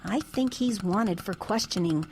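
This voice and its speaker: A woman's voice: mid-range voice, expressive, unhurried, a little mellow. A woman playing an exasperated, earnest grown daughter and reluctant amateur sleuth in a comedic audio drama, flustered and hesitant. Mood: worried, flustered.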